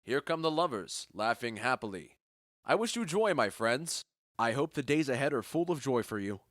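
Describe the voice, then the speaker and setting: A man's voice: medium pitch, very animated, normal speed, fairly bright tone, no room sound, studio quality. A man playing a confident, genial Athenian duke in a modernized Shakespeare audio drama, speaking in a self-assured, declamatory way. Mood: festive, eager.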